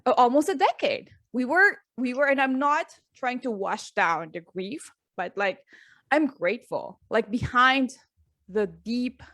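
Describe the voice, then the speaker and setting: A middle-aged woman from the Philippines, a guest coach, telling her story openly in a relaxed interview, with many fillers and restarts. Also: fairly high pitch, measured pace, no echo, clean studio sound. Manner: earnest, open, with frequent fillers and restarts. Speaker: guest, life and business coach.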